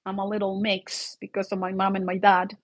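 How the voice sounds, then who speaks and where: A woman with a Mexican accent speaks English as a native Spanish speaker, at a middling pitch. She hosts a solo vlog in an informal, conversational style. Her delivery rambles, with little checks for agreement along the way. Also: monotone, brisk.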